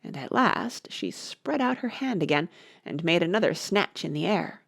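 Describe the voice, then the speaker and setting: Lively, lower-pitched woman reading a storybook. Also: moderate pace, creaky, husky, dry recording, studio quality. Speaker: audiobook narrator. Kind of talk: expressive storybook narration.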